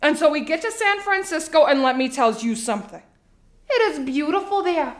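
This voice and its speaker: High-voiced, chatty housewife, acted by a woman, echoey room. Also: animated, moderate pace. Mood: confiding. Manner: confiding, rambling, hesitant asides. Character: chatty middle-aged Long Island housewife, candid, nervously well-meaning mother. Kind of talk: theatrical comic monologue, storytelling to a listener.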